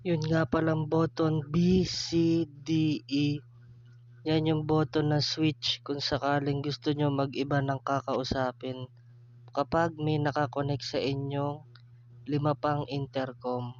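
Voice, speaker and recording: A man's voice: on the high side, level tone, slow pace. A man casually walking viewers through a motorcycle intercom, explaining each step and calling them guys. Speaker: product reviewer. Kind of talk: casual product demo. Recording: dull lo-fi sound with hum.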